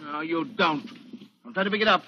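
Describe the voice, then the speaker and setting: A man's voice: a little high, animated, brisk, background noise, close to an everyday voice. Man acting a menacing, haughty radio villain. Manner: threatening, haughty, formal diction. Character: cornered male villain, menacing, arrogant former ballplayer. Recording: dull vintage 1940s radio recording.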